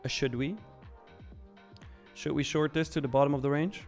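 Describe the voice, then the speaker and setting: A man's voice: fairly high pitch, steady tone, normal speed, darkish tone, faint music behind. A man from Switzerland hosting a casual, frank livestream, answering viewers and commenting on trades in an informal way with repeated phrases. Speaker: live trading stream host.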